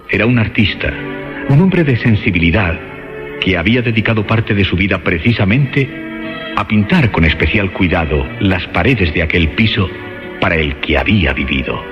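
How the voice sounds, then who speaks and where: A very deep-voiced man narrates a suspense radio play. His literary delivery is measured and dramatic, moving widely in pitch. Music plays clearly behind him. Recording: dull band-limited radio sound with music.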